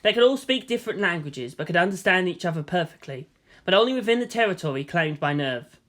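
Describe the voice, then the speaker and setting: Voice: mid-range voice, animated, fast, fairly bright tone. A narrator reading fan fiction aloud as scripted drama, with a theatrical, solemn delivery. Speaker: story narrator.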